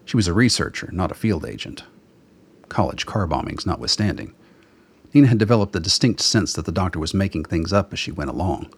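Male narrator, low pitch, husky rough-edged voice, scripted radio drama storytelling. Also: animated, brisk.

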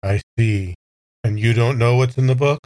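A man's voice: mid-range voice, moderate pace, darkish tone, dry recording, lightly acted. A man playing a weary, questioning man with a dry manner in improvised audio drama roleplay. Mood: guarded, curious.

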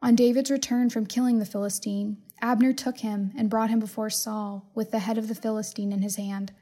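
A woman reads scripture in a measured, solemn way. Her mid-pitched voice is soft-edged and mellow, with very little rise and fall as she narrates. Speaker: scripture reader. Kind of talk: scripted Bible reading, narration.

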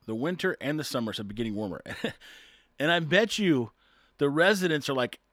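Animated, chuckling man in casual talk. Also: fairly high pitch, a little fast, no room sound, studio quality. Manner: chuckles often, rambling, stumbles over words. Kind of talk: casual solo talk, reading an article aloud.

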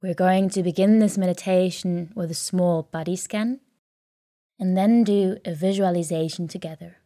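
A woman leading a guided sleep meditation in a calm, soothing, gentle way, leaving long pauses between phrases. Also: fairly low pitch, dry recording, clean studio sound. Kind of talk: guided sleep meditation, soft scripted narration.

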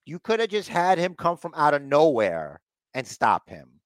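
Male co-host, slightly high, smooth voice, dry close mic, rambling and congested. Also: wildly swooping pitch, moderate pace, no echo. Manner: rambling, puts on mocking voices for quotes. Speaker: podcast co-host recapping wrestling. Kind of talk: casual chat.

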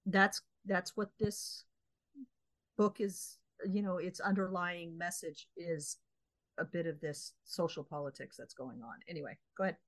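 Low-voiced woman, measured and opinionated. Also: steady tone, no echo, poor audio. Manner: measured, opinionated, with thoughtful pauses. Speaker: podcast co-host. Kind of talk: casual book discussion.

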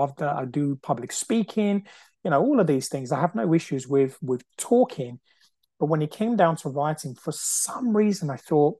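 Earnest man in his forties, mellow, dry. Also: fairly high pitch, no echo. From Brazil. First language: Portuguese. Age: 40s. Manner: earnest, repeats phrases, frequent "you know". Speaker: podcast host. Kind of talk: casual reflective monologue. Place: at home on the sofa.

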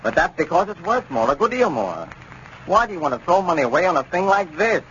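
An actor playing a bossy, exasperated husband with an American accent, insistent and lecturing in a 1930s radio advertisement. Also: male voice, high-pitched, very animated, quick pace, a bit bright. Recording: muffled, hissy 1930s radio recording.

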